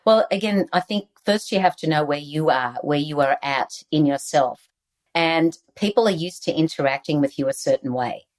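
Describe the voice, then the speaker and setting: An Australian woman, a guest author on leadership, giving earnest, animated interview answers over a video call. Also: low-pitched, normal speed.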